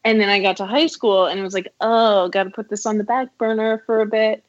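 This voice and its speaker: Enthusiastic woman host, mid-pitched voice, dry dull recording. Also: fairly even intonation, a little fast, no room sound. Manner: enthusiastic, chatty. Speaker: podcast host. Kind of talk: casual interview chat. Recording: dry, muffled lo-fi audio.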